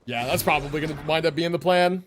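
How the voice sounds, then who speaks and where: A man's voice: on the high side, quick pace, slight background noise. A man hosting a gaming livestream, chatting casually in a slangy, rambling way with some swearing. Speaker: livestream host. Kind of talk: casual gaming stream chat. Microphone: streaming microphone.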